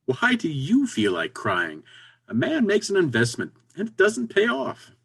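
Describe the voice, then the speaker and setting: Very animated, husky, pushy businessman played by a man. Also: high voice, unhurried, heavily acted. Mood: exasperated. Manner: pushy, exasperated, emphatic. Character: brisk, pragmatic businessman friend, impatient but encouraging. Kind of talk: stage comedy readers theater.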